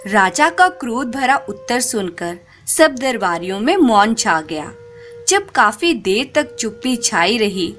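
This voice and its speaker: A woman narrating a scripted moral folk tale in a measured, expressive storytelling manner. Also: fairly high pitch, soft background music.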